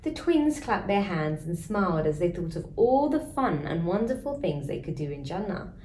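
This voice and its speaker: A woman reads a children's story in a low, very mellow voice. She narrates as a storyteller in a strongly echoing room, with faint noise behind her. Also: very animated, normal speed. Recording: roomy echo, faint background noise.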